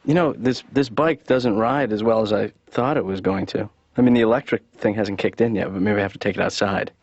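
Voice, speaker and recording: A man playing a hesitant, rambling, deadpan grown-up slacker son in a naturalistic cartoon. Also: fairly low pitch, fairly quick, dry recording. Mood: hopeful, sheepish. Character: adult son, aimless, hopeful, whiny slacker. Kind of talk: naturalistic improvised cartoon dialogue. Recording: slightly dull lo-fi cartoon soundtrack.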